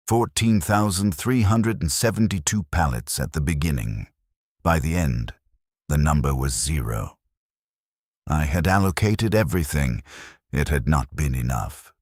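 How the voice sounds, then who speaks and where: Guy doing a resigned army supply officer telling a grim sci-fi story, super deep voice, measured, totally dry audio. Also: no room sound, exaggerated voice. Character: weary, resigned military logistics officer recounting a lost war. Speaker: narrator. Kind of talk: first-person scripted sci-fi war story narration.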